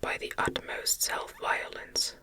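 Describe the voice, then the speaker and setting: Woman, ASMR story reader, soft breathy whisper, very slow pace, soothing, gentle. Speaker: audiobook reader. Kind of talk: ASMR whispered reading of a gothic novel.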